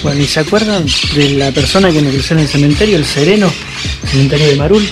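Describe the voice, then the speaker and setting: A man's voice: a little high, fast talker, loud music behind the voice. A man in his thirties with an Argentine accent, chatting casually to an action camera held at arm's length outdoors while touring by bike. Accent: Argentine Spanish. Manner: chatty, easygoing, thinks aloud with fillers. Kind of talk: casual travel vlog talk to camera. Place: outdoors at an abandoned campground.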